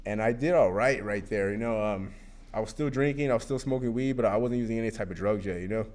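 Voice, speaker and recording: A man's voice: fairly low pitch, fast talker, a little mellow. Candid man rambling through his story. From Arizona. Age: 30s. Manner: rambling and candid, frequent fillers and cursing. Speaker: recovery meeting speaker. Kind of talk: personal recovery testimony.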